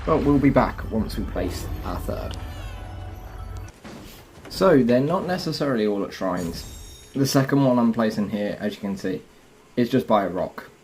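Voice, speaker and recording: A man's voice: lowish voice, animated, normal speed, long pauses, darkish tone, faint music behind. A man hosting a gaming video, giving a casual walkthrough and explaining each step directly to viewers. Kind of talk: casual game walkthrough commentary.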